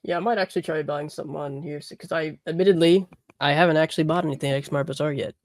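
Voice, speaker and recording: A man with a fairly high voice and a lot of vocal fry talks casually on a podcast panel. The recording is dry and free of room sound. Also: fairly even intonation, rapid-fire, no echo. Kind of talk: casual chat.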